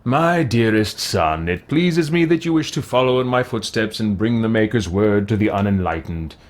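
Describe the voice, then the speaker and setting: A man reading aloud as a loving father writing to his son in a video game, with measured, deliberate phrasing. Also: on the low side, lively intonation, voice pushed down, close to an everyday voice. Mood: fond, instructive.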